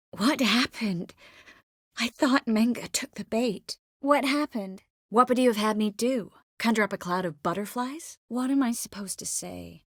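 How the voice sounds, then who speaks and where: A woman voicing an earnest, tender, quick-witted young sorceress in dramatic fantasy video game dialogue. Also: a little high, vocal fry, dry recording, studio quality. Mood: exasperated.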